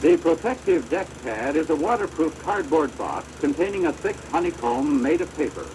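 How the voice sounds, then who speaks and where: An American man giving formal, measured instructional narration for a training film, on a deteriorated old projector soundtrack. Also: fairly high pitch, very animated, noisy background.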